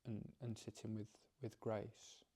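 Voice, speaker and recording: A man leading a guided meditation as its teacher, speaking calmly and gently, haltingly, with frequent pauses and repeated phrases. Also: lowish voice, husky. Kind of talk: guided meditation, softly instructive.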